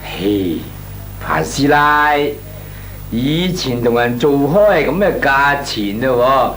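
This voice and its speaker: A man's voice: mid-range voice, expressive, takes it slowly, a bit bright, faint music behind. An actor playing a talkative, cautious small businessman in a Cantonese comic radio drama, hemming and chuckling as he talks. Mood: protesting. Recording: clearly noisy, humming radio recording.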